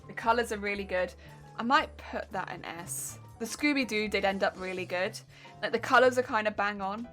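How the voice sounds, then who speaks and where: A woman's voice: mid-range voice, a bit bright. A young woman with an English accent, chatting casually on a live stream as she answers chat questions and critiques her own sewing. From England.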